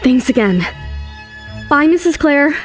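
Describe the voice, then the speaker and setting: A young single mother in an audio drama. She speaks in a high voice, anxious and fiercely protective of her child. Faint music runs underneath. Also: lively intonation, slow pace. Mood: polite, guarded. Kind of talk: dramatised audio series acting with narration.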